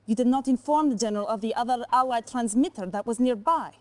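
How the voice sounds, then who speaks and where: An actress playing a cool, assertive Soviet intelligence officer, speaking formally and firmly in a video game cutscene. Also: female voice, a little high, fast talker, natural voice. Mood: firm, defiant. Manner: formal, firm, precise.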